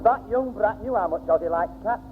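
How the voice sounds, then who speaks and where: An aggrieved, henpecked middle-aged man played by a male actor, grumbling sardonically in a high voice with a Northern English accent. The 1960s radio comedy recording is thin and very reverberant. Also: fairly quick, phone-quality sound, soft background music, close to an everyday voice. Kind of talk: 1960s British radio sitcom acting.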